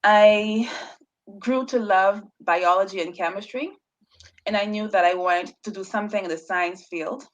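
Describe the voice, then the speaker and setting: A woman's voice: medium pitch, unhurried, muffled recording. A woman researcher, a guest on an interview show, telling her story casually with a stutter, repeated words and frequent laughs. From Bahamas.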